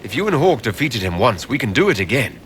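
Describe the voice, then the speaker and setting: An actor playing a resolute leader in a fantasy video game, formal and direct. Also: male voice, mid-range voice, sing-song, quick pace, a bit bright, slight background noise. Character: commanding, resolute male leader of a fantasy order. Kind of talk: video game dialogue acting.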